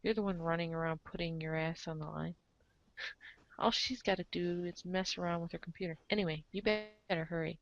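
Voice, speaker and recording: A woman's voice: on the low side, steady tone, fairly quick, dull audio. A young adult woman reading second-person story narration as the narrator of an amateur reader's theater.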